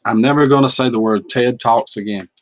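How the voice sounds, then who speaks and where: A man's voice: mid-range voice, fairly quick, noisy background. A middle-aged man with a Southern US accent, chatting casually and folksily while he hosts a livestream and reads out the chat. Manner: folksy and chuckling, drawls out words. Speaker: livestream host reading chat.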